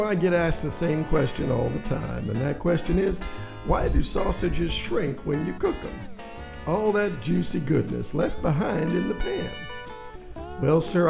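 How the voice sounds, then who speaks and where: A man playing a folksy, eager breakfast-meat pitchman with hearty sales patter in a parody radio commercial. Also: gravelly, heavily acted.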